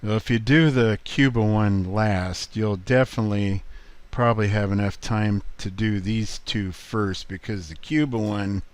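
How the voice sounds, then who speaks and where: A man giving a casual, rambling walkthrough as a hobby video host, halting often as he thinks aloud. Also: fairly low pitch, smooth, no echo. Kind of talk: casual screen walkthrough commentary.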